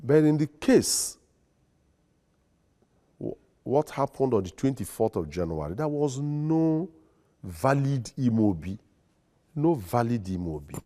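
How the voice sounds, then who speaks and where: A man with a Nigerian accent, a lawyer and guest on a studio interview, speaking in a formal, deliberate and emphatic way. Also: mid-range voice, sing-song, mellow. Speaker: guest, lawyer and secretary general.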